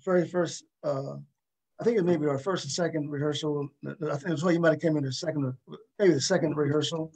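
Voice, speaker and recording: Casting: man, slightly high voice, casual storyteller who stumbles and doubles back on his words. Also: fairly even intonation, darkish tone, slightly rough. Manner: halting, repeats words. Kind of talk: casual anecdotal conversation.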